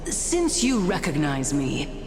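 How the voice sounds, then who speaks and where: Stately ancient general, slow, echoing. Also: female voice, low voice, huge pitch swings, heavily acted. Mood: grave, commanding. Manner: formal, measured, archaic phrasing. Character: ancient female sky general, stately, stern yet gentle. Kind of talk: scripted video game voice acting. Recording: long hall reverb, music behind.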